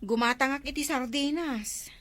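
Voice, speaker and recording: A woman's voice: sits high, normal speed, fairly bright tone, no echo. A woman playing a proud, nagging village housewife in a comedy drama, speaking with an Ilocano accent.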